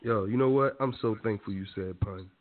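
A man's voice: on the low side, brisk. A man hosting a radio show, an enthusiastic and slangy interviewer. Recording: phone-like narrow sound.

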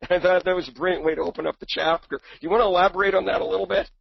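A man presents in a webinar, his voice hoarse and raspy from a cold, with a heavy gravelly edge and a breathy rasp. He rambles and repeats words as he searches for his point. The sound is dull and muffled, with no room echo. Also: high voice, steady tone, racing. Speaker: book co-author presenting on a webinar.

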